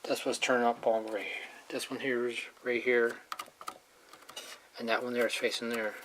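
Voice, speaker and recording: A person talking casually and informally while showing hands-on work, rambling and trailing off mid-thought, with a medium-pitched voice. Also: level tone, normal speed. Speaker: hobbyist showing a radio repair. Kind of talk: casual hands-on video narration. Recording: clearly noisy amateur handheld recording.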